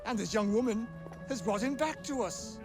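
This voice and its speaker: High-voiced, dignified male councillor. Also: normal speed. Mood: firm, then welcoming. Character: dignified, defiant councillor loyal to an old friend. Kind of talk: dramatic video game acting. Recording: music behind.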